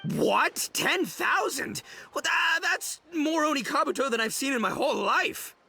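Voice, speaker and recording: A man's voice: very high voice, very animated, unhurried, creaky, sharp tone, exaggerated voice. An actor voicing a boisterous, gullible, big-hearted young man in a video game, brash and bumbling as he talks. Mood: amazed, excited. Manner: bumbling, brash, stammering over big words. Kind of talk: exaggerated video game voice acting.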